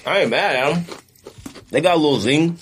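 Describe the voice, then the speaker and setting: A man's voice: on the high side, fairly quick, background noise. A man hosting a podcast, chatting casually and laughing as he tastes snacks, in laid-back slang. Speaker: podcast host. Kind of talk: casual chat, snack tasting.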